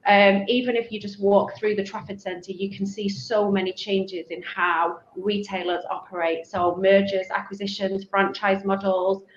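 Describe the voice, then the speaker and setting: A woman's voice: mid-range voice, flat delivery, moderate pace, few pauses. A middle-aged woman with a Manchester accent, speaking as a webinar panel guest and laying out her points thoughtfully. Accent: Mancunian. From Manchester, England. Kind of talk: webinar discussion. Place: office, video call. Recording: roomy, dull video-call audio with faint noise.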